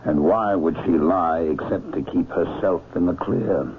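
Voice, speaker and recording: An actor playing a mild, musing doctor who helps a detective, polite and tentative, in a vintage radio drama. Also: male voice, low-pitched, a little slow. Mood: speculating. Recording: muffled, noisy old radio recording.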